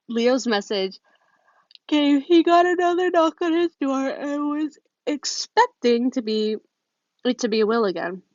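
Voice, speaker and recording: A woman reading fan fiction aloud in an informal, amateur way, recorded on a phone. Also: on the high side. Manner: informal, giggly when flubbing lines. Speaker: amateur podfic reader. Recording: muffled, roomy echo, phone recording.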